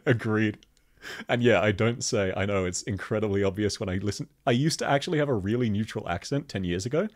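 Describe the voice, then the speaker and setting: A man with a thick Australian accent, chatting casually as he reviews game outfits and laughs often. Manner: chatty, laughs often, lively pitch jumps. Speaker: gaming video host. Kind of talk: casual commentary.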